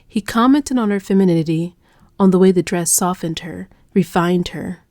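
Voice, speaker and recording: A female audiobook narrator reads dark romance in a fairly low voice. Her delivery is measured, hushed and dramatic, recorded very dry with no room around it. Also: no room sound.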